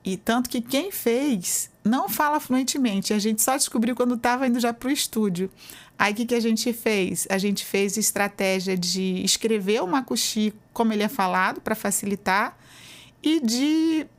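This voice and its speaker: A woman's voice: fairly high pitch, normal speed. Chatty Brazilian woman professor explaining. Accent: Brazilian Portuguese. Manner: explanatory, uses many fillers like "né". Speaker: university professor, guest. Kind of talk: conversational interview answers.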